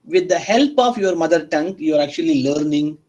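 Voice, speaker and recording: A man's voice: on the high side, expressive, fairly quick, a little mellow, muffled recording. A male university lecturer who speaks English as a second language, teaching interactively with repeated phrases and frequent checking questions to students. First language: not Arabic or English.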